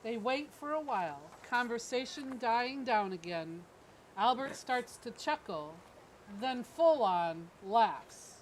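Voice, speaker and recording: Slow-paced female narrator, noisy recording. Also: on the high side, expressive. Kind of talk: scripted narration, reading stage directions. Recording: clearly noisy background ambience.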